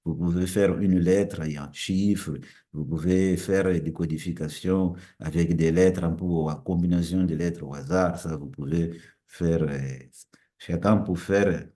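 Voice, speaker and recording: Mellow-voiced lecturer. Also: male voice, low-pitched, steady tone, a little slow. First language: Portuguese. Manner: explains step by step, repeats and corrects himself. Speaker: university lecturer. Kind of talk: recorded methods lecture.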